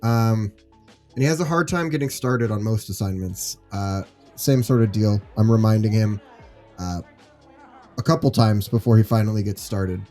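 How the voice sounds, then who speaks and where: A man acting as a concerned high school teacher with a mellow, relaxed voice. He is informal and hesitant, leaning on fillers as he explains things in an easygoing way, with music behind him in this audio drama. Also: normal speed, close to an everyday voice. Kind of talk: role-played conversation in a scripted case study.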